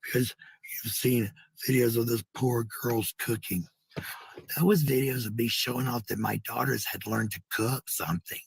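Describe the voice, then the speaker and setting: A man with a raspy, gravelly voice in casual, rambling chat, blunt and swearing freely. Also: mid-range voice, moderate pace, no room sound, rough recording.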